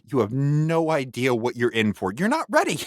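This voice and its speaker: An actor voicing a jaded, cynical driver in a parody cartoon dub, halting and exasperated. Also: mid-range voice, wildly swooping pitch, dry recording, studio quality. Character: jaded, cynical driver warning a runaway kid.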